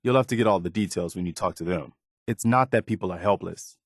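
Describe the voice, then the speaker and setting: A man playing an earnest, weary and idealistic militia officer in a post-apocalyptic video game, speaking in a measured way. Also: low voice, dry recording, studio quality. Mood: hopeful, encouraging. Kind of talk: video game character dialogue.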